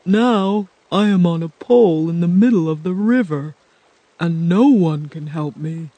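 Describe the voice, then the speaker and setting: A woman voicing a kind, gentle male scarecrow in a storybook reading, soft and kindly. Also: lowish voice, a little slow, no room sound. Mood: gloomy.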